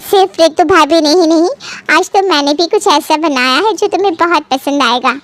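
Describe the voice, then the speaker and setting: A young daughter-in-law from a cartoon household drama voiced by a woman. She has a high voice and a calm, placating manner, explaining things patiently. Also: normal speed. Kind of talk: animated family story dialogue.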